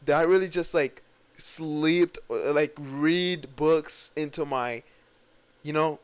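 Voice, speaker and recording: A man's voice: fairly high pitch, slow, smooth. A young man hosting a solo podcast, talking earnestly and reflectively, rambling with frequent restarts and fillers. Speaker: podcast host.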